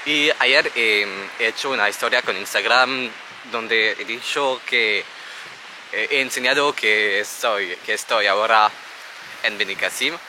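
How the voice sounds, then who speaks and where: Slow-talking man vlogging. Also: lowish voice, noisy background. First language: French. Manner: halting learner's Spanish with restarts. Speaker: travel vlogger. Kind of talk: casual vlog talk to camera. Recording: music under the voice. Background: background music.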